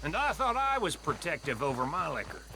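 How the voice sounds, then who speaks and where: A man playing a rough, plain-spoken American outlaw henchman in a Western game. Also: a little high, very animated, a little fast, noisy background. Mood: grumbling, calmer. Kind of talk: dramatic video game acting.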